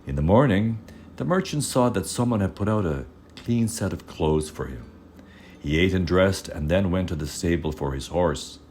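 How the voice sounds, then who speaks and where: Very deep male fairy-tale narrator. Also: slight background noise. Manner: measured, expressive storytelling. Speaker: storyteller.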